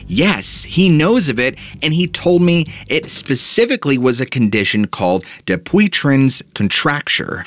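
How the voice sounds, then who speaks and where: Man narrating with lively, dramatic pitch. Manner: dramatic storytelling with sweeping rises and dips. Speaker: host. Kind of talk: scripted narration of paranormal stories. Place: small dark home studio. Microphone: studio mic in front of him.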